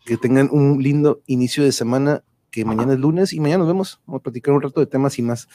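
A man's voice: medium pitch, fast talker, dark tone, no room sound, clean studio sound. A man with a Mexican accent hosting a casual family livestream, chatty and affectionate in a familiar way. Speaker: host of a live online show.

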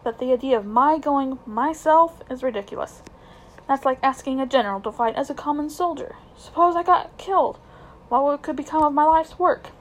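A woman's voice: high voice, moderate pace, voice pushed up, lightly acted, low-quality recording. A woman voicing a pompous, scheming elderly male magician with a haughty, lecturing manner in a storybook reading. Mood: blustering. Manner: haughty, lecturing, self-important.

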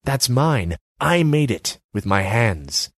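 Man voicing haughty young female artist, high, preachy, dry close sound. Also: sing-song, takes it slowly, fairly bright tone, dry recording, exaggerated voice. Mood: haughty lecturing. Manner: scornful, lecturing, self-important.

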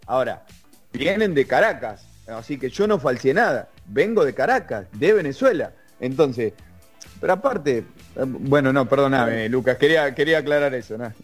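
A man's voice: mid-range voice, animated, moderate pace, faint music behind. A man with an Argentine accent talking sports in casual chat, emphatic and explanatory, often restarting words. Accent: Argentine Spanish.

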